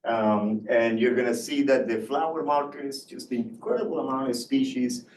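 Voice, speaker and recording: A man's voice: mid-range voice, fairly even intonation, moderate pace, darkish tone, echoey room, far from the mic, slight background noise, poor audio. A man from Colombia giving a university seminar lecture, hesitant with many fillers and restarts. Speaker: guest lecturer. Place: lecture room.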